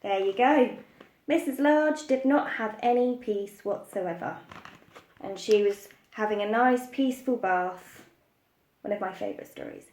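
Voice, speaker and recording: A woman's voice: medium pitch, unhurried, darkish tone. A woman reading a children's story expressively, speaking simply as a preschool storyteller, in an echoey room. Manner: addresses small children simply.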